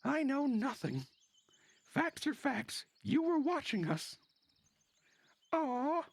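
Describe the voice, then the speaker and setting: Visual novel schoolgirl, played by a man: very high voice, sly, terse, insistent, pushing for what she wants. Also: very animated, slow pace, vocal fry, exaggerated voice. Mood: bossy, scheming. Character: sly, scheming young pioneer girl, bossy and insistent.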